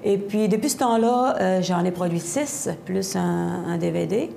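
A middle-aged woman with an Acadian French accent and a strongly gravelly, mellow voice, talking as a singer-songwriter guest. She answers in a lively, expressive way, full of fillers and restarts. Faint noise sits under the studio lapel mic. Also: on the low side, measured pace. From Havre-Saint-Pierre, Quebec. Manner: expressive, gestures a lot, many restarts and fillers. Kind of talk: animated TV interview answers. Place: TV studio set.

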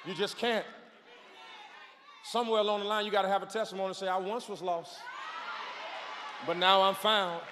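A man in his forties, a pastor, preaching a sermon on a large church stage with a handheld microphone, shouting and calling on the crowd to respond. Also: sits high, flat delivery, normal speed, pauses often, background noise. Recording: long hall reverb.

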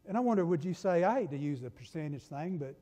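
A middle-aged man with a Southern US accent, preaching a folksy sermon from a church pulpit, swinging between loud and hushed. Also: a little high, lively intonation, fast talker. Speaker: pastor. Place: church sanctuary. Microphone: pulpit mic from a far camera. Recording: long church-hall reverb.

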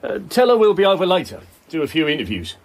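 An actor playing a chatty, deferential police sergeant in a radio drama. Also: male voice, high voice, huge pitch swings, quick pace, creaky, full character voice. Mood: deferential, brisk.

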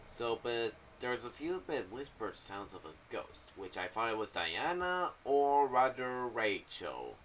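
A man's voice: medium pitch, big pitch swings, measured pace, hardly any pauses, fairly bright tone. A young adult man giving casual, rambling commentary as he shares theories on a series. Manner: rambling, loosely phrased. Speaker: YouTuber reviewing an audio drama series. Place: small home room. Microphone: condenser mic on boom arm with pop filter, slightly off to the side. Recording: slightly distant mic, faint background noise.

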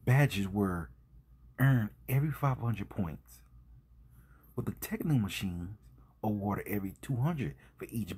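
A man with a low, very husky and breathy voice talks through an informal video commentary. His pitch swings widely as he stresses points and poses rhetorical questions. He takes his time, often halting and repeating himself. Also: darkish tone. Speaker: host.

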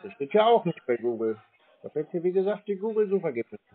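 A man with a husky, slightly high voice talks over a narrow phone line. He answers the caller in a matter-of-fact way and readily contradicts. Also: big pitch swings, fast talker. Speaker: call recipient. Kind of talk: phone conversation.

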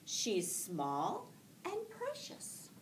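A woman voicing a patient, reassuring mother duck from a children's storybook, assured and gently insistent. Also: on the high side, wildly swooping pitch, very slow, exaggerated voice. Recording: echoey classroom, distant mic, faint noise.

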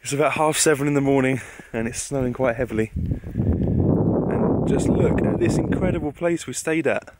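A man's voice: mid-range voice, moderate pace, heavy background noise. A young British man chatting casually to camera as a travel vlogger. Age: young adult. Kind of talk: casual travel vlog narration. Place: outdoors, snowy woodland and city park. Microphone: camera at arm's length. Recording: music over voice. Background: music.

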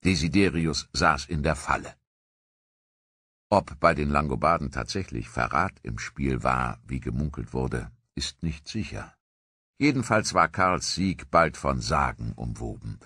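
Male documentary narrator, deep and husky, measured storyteller for scripted history. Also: expressive, bright, forceful tone, dry recording, studio quality.

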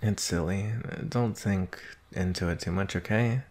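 Man acting a husky, lovestruck young werewolf. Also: low-pitched, darkish tone. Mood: anxious, wistful. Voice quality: husky, breathy. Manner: hesitant, halting, intimate. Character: anxious lovestruck young male werewolf, devoted and vulnerable. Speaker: roleplay voice actor. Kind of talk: intimate ASMR romantic roleplay.

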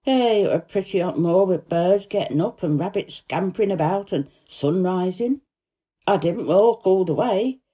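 A woman playing a cheerful, warm-hearted young housemaid who gossips eagerly in broad Yorkshire dialect, in a storybook reading. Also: lowish voice, expressive, moderate pace, husky. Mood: happy, excited.

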